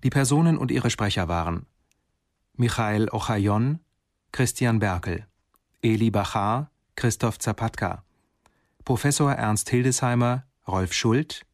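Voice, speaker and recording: A man announcing radio drama credits in a formal, measured, scripted style. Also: lowish voice, no echo, studio quality.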